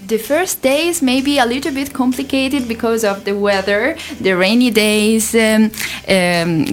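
A young woman from Italy with an Italian accent, an exchange student speaking halting English with many pauses and fillers. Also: a little high, soft background music. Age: young adult.

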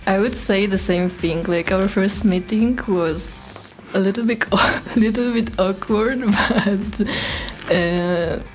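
A woman's voice: medium pitch, slow pace, faint music behind. A young woman from Poland, a tourism student guest, speaking slightly shyly. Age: young adult. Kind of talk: casual chat.

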